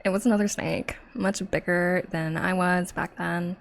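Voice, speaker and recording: A woman's voice: lowish voice, steady tone, normal speed, vocal fry. A woman voicing a playful, chatty male snake in a storybook reading, with a drawling Valley Girl accent full of fillers. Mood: chatty, reminiscing. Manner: drawling, filler-heavy, breezy.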